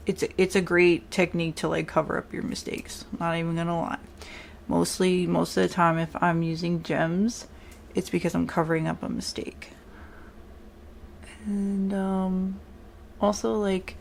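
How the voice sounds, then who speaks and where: Young woman, strong New York accent, gravelly low voice, blunt chatty makeup vlogger. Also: darkish tone, a little hiss or hum. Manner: chatty, blunt, says like a lot. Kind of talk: casual makeup tutorial chat.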